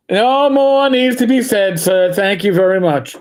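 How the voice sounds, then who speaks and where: A man co-hosting a livestream, chatting casually about tabletop games and spinning rambling anecdotes with slow, drawn-out emphasis. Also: high voice. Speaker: livestream co-host.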